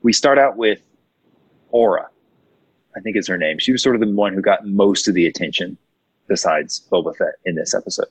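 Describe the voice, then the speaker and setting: A man's voice: low voice, brisk, long pauses, husky. A man hosting a casual panel discussion, thinking aloud and rephrasing his points as he talks. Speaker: podcast host. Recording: roomy echo, dull lo-fi sound.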